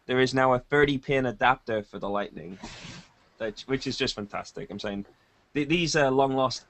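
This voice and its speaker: A man's voice: mid-range voice, normal speed, fairly bright tone. Wry man hosting a tech chat. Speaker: podcast host.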